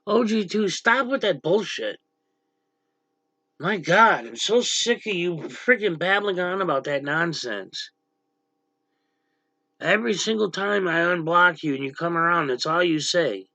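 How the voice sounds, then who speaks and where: Gravelly man snapping at his livestream chat. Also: high-pitched, expressive, a little slow, bright, forceful tone, dry recording. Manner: blunt, irritated, snaps at chatters. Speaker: livestream host. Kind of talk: casual livestream chat reading viewer comments. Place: dim room at home, power out.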